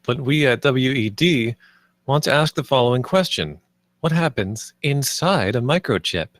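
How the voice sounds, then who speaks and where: A man acts out an eager, nervous young designer at a script read-through. His voice sits at a medium pitch with very little room around it. He talks like a salesman who sometimes hesitates. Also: animated, normal speed, fairly bright tone, no echo, close to an everyday voice. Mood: nervous salesmanship. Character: eager, nervous 27-year-old theme park designer pitching ideas.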